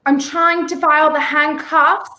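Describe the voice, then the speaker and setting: A woman's voice: high-pitched, little pitch movement, a little slow, bright, forceful tone, a little hiss or hum. A young woman playing a feisty, prim heroine in a 1930s radio play, speaking emphatically. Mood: tart. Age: young adult. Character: feisty, indignant young woman, handcuffed unwilling companion. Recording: roomy, echoing home recording.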